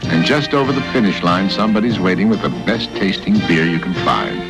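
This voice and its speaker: A man's voice: deep voice, very animated, a little fast. A man delivering a 1970s TV commercial voice-over as announcer, on old off-air tape. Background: loud music.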